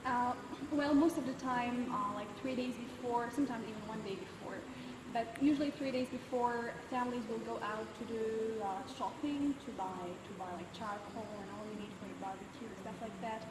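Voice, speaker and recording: A young woman in her twenties, a student, answering interview questions in a relaxed way with frequent pauses. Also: on the high side, far from the mic. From United States. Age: 20s. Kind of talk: casual explanatory interview answers. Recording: echoey hall from afar, background noise.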